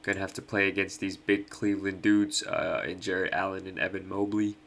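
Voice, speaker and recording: A man's voice: low-pitched, fairly even intonation, a little fast, creaky, a bit bright. A young man, nasal from allergies, casually hosting a fantasy basketball picks breakdown with lots of ums. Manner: laid-back, many ums and uhs. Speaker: fantasy sports host.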